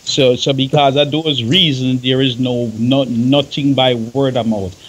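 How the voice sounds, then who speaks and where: A man arguing his points on a radio talk show, emphatic and quick to cut in, repeating his phrases. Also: medium pitch, steady tone, breathy edge, poor audio. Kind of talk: opinionated talk show discussion.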